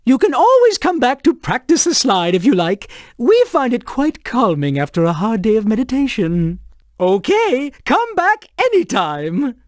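An actor playing a serene, polite monk creature in a video game, speaking in measured, formal phrases. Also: mid-range voice, wildly swooping pitch, dry recording, studio quality. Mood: calm, instructive. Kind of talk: video game character dialogue.

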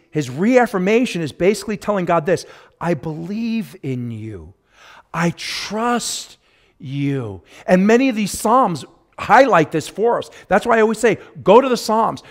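Higher-voiced man preaching with emphasis. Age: middle-aged. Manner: emphatic, swinging between hushed and raised delivery, repeating phrases for weight. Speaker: pastor preaching. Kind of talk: sermon with scripture exposition. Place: church sanctuary, at a pulpit.

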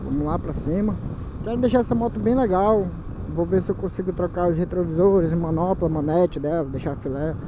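A man's voice: sits high, fairly quick. A man from Manaus with a Brazilian Portuguese accent, chatting casually in slang to his viewers while riding a motorcycle at night, recorded on a helmet camera mic. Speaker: motovlogger. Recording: dull helmet-cam audio with loud wind and engine noise.

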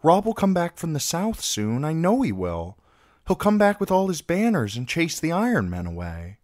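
Earnest young prince, played by a man, hopeful and insistent, pitched up, for a storybook. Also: wildly swooping pitch, normal speed, darkish tone, no room sound, clean studio sound.

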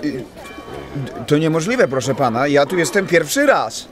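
A man's voice: fairly high pitch, very animated, moderate pace, music in the background. A man playing an eager, nervous sixteen-year-old boy who is hesitant and deferential, in a children's audio drama. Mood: nervous, polite.

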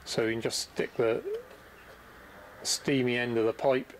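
A man's voice: mid-range voice, fairly even intonation, a little slow. Middle-aged male instructor, easygoing and practical, recorded in a workshop with a faint background hum. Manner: easygoing, practical explaining with restarts. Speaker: boatbuilding instructor. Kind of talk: hands-on workshop demonstration. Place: boatbuilding workshop indoors.

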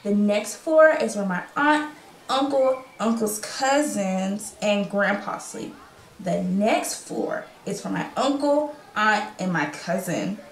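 A woman's voice: mid-range voice, lively intonation, slow pace. A woman playing a young, candid, chatty refugee girl in a radio play. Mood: matter-of-fact. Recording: echoey room with faint noise.

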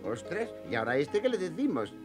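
A man voicing an excitable, eager little old gnome sidekick in a cartoon. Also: fairly high pitch, a little fast. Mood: earnest. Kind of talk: animated cartoon dubbing. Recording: music behind the voice.

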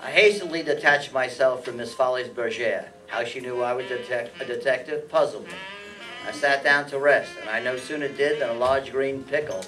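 A man's voice: mid-range voice, normal speed, close to an everyday voice. Wry private detective, played by a man, distant mic. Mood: narrating drily. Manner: deadpan, wry. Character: wry, flirtatious hard-boiled male private detective. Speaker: amateur radio play performer. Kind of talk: old-time radio detective narration and dialogue. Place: meeting room stage before seated audience. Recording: distant room microphone, music behind.

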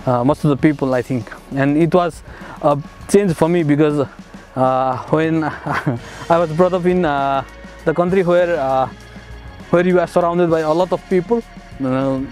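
A young man from Nepal, a master's student, talking casually about his studies with many hesitant fillers and soft chuckles, recorded on a lapel mic. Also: a little high, unhurried, soft background music. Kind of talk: casual testimonial interview. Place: outdoors on a city riverside path and in a bright building.

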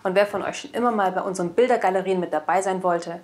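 A woman's voice: lowish voice, quick pace, a little mellow. Young woman presenting news. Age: young adult. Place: indoor studio. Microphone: lapel mic.

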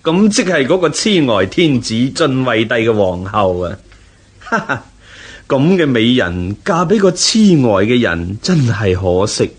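An arrogant conquering warlord in a historical radio drama. He gloats and mocks with a slow swagger and very lively swoops of pitch. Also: slightly rough. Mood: lustful, boastful. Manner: swaggering, mocking, drawn-out. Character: gloating, arrogant conquering male Xiongnu general.

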